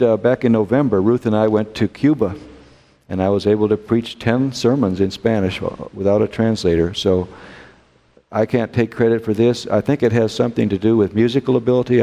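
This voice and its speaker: Gravelly American man teaching a Bible class. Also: lowish voice, mellow. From United States. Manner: unhurried, asks rhetorical questions, frequent throat clearing. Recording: long hall reverb.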